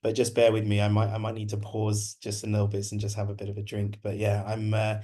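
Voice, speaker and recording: A man in his thirties with a husky voice, slightly hoarse from being unwell, giving a conversational online presentation with frequent restarts. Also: fairly low pitch, completely flat delivery, fast talker, warm tone. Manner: conversational, many restarts and repetitions. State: recovering from illness, slightly hoarse. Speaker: guest speaker presenting a webinar.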